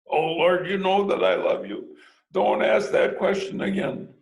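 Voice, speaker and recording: A man's voice: high-pitched, sing-song, unhurried, gravelly, roomy sound, pitched above the performer's own voice, heavily acted, poor audio. A man voicing a grieving adult male disciple who pleads anxiously. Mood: pleading.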